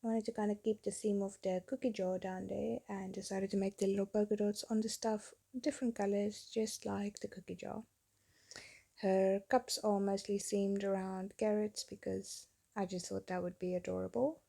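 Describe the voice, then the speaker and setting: Gravelly, mellow-voiced woman narrating her painting. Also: mid-range voice, level tone, normal speed. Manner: rambling, chatty, self-deprecating. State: losing her voice, getting sick. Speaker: artist narrating a painting video. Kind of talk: casual voice-over narration. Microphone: phone.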